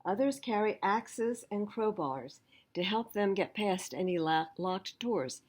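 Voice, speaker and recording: A woman reading a picture book aloud to young children as a library storyteller, pausing to explain things simply. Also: medium pitch, lively intonation.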